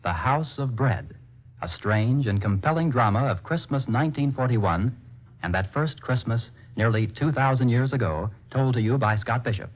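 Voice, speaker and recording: An American man working as a radio announcer, reading scripted copy in a formal, measured 1940s style. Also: medium pitch, animated. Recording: dull lo-fi vintage radio.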